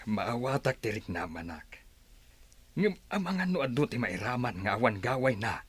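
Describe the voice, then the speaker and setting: A man playing a calm, cautious older father in a radio drama, speaking in a measured, gentle way. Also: mid-range voice, slightly rough, no echo. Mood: concerned, reluctant.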